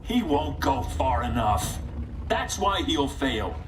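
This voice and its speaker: Grave, commanding superhero. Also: male voice, medium pitch, sing-song, slow pace, very noisy. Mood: imperious. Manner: grave, self-assured. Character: stern, imperious adult male alien superhero. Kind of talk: video game cutscene acting. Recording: music behind the voice.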